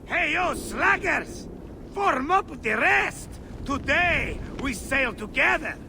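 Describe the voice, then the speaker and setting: A man's voice: extremely high pitch, very animated, takes it slowly, gravelly, noisy background, full character voice. A man playing a brash, hearty, seasoned ship captain in a dramatic video game. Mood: rousing, commanding.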